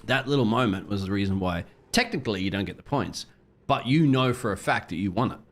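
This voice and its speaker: Fast-talking, lowish-voiced man co-commentating. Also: lively intonation. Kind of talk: casual live sim racing commentary.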